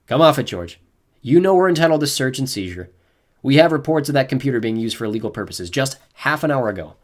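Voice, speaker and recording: A man voicing a gruff, sneering male police officer in an audiobook. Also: mid-range voice, animated, fast, pitched above the performer's own voice, lightly acted. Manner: loud, barking, sneering. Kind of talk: audiobook character voice.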